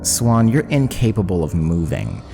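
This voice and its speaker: A man's voice: low-pitched, wildly swooping pitch, moderate pace, vocal fry, music in the background. A man narrating a tabletop roleplaying game as game master, describing the scene dramatically.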